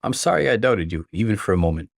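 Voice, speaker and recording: A man's voice: lowish voice, expressive, quick pace, creaky, no echo, clean studio sound. A man voicing a hurt, devoted young husband in a story reading, earnest and emphatic. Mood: remorseful, gentle.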